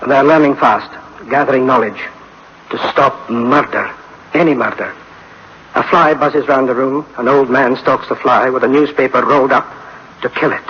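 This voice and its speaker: An actor playing a worried, guilt-ridden scientist in a science fiction radio drama, speaking in tense, clipped phrases. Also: male voice, medium pitch, steady tone, normal speed, fairly bright tone, husky. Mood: grave, worried. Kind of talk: dramatic sci-fi radio acting. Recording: dull, faintly noisy old radio recording.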